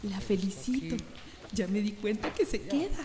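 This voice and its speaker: A woman's voice: mid-range voice, expressive, normal speed, very noisy, lightly acted. An actress playing a worried, polite middle-aged village mother in a radio drama. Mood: warm. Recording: crowd murmur behind.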